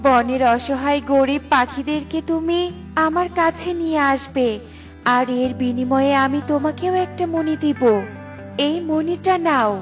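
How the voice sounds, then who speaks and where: A woman's voice: high voice, a little slow, distant mic, soft background music. A woman voicing a solemn, benevolent magic tree in a cartoon, protective of the poor and stern to the greedy. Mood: assured, benevolent. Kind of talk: cartoon voice acting.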